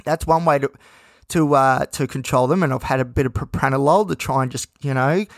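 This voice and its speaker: A man hosting his own podcast, talking in a candid, rambling way with many fillers. He sounds a little croaky and nervous, with a dry mouth. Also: medium pitch, brisk, no echo. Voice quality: slightly croaky. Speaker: podcast host. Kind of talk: casual solo podcast monologue.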